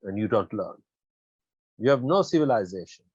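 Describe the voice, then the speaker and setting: A man's voice: lowish voice, sing-song, normal speed, darkish tone, slightly rough, dry recording, dull audio. A man voicing a scornful, bullying African army interpreter who barks broken English in an audiobook-style reading. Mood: scornful, barking. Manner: broken, mangled English, barked insults.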